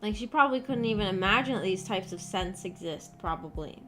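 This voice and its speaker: Young woman rambling on stream, kinda hoarse from just waking up, lotsa "like"s. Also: medium pitch, animated, a little fast, pushed and bright, faint music behind. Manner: rambling, chatty, lots of fillers. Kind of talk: casual chat stream.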